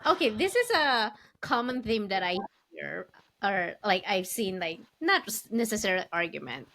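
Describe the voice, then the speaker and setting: Animated woman co-hosting a casual chat. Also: medium pitch, normal speed, gravelly. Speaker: podcast co-host.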